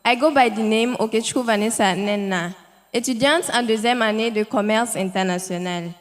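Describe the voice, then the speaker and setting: A woman's voice: on the high side, normal speed. A young woman, giving a confident, formal welcome speech as a student. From Nigeria. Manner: confident, declamatory. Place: hotel ceremony hall. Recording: echoey hall.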